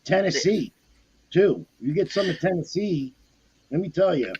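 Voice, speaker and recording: A man's voice: high-pitched, animated, measured pace, mellow, a little hiss or hum. A man with a New York accent bantering bluntly with friends on a live stream. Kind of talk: casual chat. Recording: muffled lo-fi audio.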